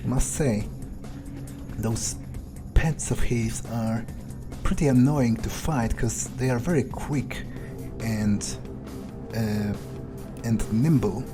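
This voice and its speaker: A man voices an irritable, foul-mouthed male vampire in a video game. He muses aloud in a hesitant, mid-pitched voice, commenting on his surroundings in character. Music plays clearly behind him. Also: slow, long pauses, lightly acted. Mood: musing, wary. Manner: hesitant, musing aloud, swearing. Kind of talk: in-character roleplay commentary over gameplay.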